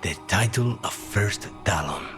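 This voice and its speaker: Brooding, curt assassin. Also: male voice, low-pitched, animated, a little slow, music in the background. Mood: grim, controlled. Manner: curt, controlled. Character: brooding, intense assassin man, curt interrogator. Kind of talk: fantasy video game acting.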